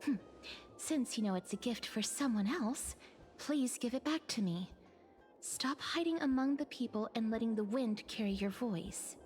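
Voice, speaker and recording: Some music plays behind an actress as a young noblewoman from a video game. Her voice sits a little high, and she is courteous, earnest and reserved. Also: expressive, normal speed, a little mellow, lightly acted.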